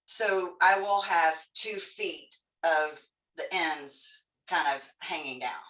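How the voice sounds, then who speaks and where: A woman from Texas with a Texan accent leads a hands-on craft lesson, chatty and folksy, teasing herself as she goes. Her voice sits fairly low but comes across bright and forceful. She stands a little back from the mic, and the room gives a clear echo. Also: slow pace, far from the mic. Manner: chatty, folksy, self-joking. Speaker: craft class instructor. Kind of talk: live online craft demonstration.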